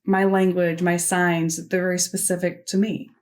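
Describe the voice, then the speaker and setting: A woman voicing a signed conference keynote into spoken English, delivering it in short, measured phrases with pauses. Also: lowish voice, little pitch movement, a little mellow. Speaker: sign language interpreter voicing a keynote. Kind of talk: simultaneous interpretation of a conference talk.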